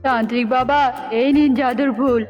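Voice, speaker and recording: A woman's voice: high-pitched, fairly even intonation, brisk, lightly acted. A woman voicing a brave, devoted young bird in a cartoon, earnest and resolute. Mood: relieved. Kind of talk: cartoon dubbing. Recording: dull lo-fi audio with music bed. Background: music.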